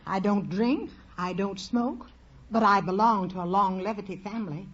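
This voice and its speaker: A woman's voice: mid-range voice, moderate pace, fairly bright tone. A woman of seventy from Ohio, giving spry, matter-of-fact quips as a contestant on an old radio quiz. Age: 70s. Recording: old 1940s radio, dull, very noisy.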